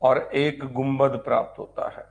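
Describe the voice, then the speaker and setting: Male exam-prep history lecturer, medium pitch, dictates notes didactically and restates each point with quick questions. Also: flat delivery, gravelly. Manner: didactic, repeats points and asks rhetorical check questions. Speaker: history teacher for exam preparation.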